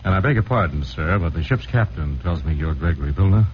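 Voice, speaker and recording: An actor playing an assured, courteous American newspaper editor in a 1940s radio drama, businesslike and polite. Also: male voice, low-pitched, lively intonation, fast. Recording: muffled, faintly noisy old radio recording.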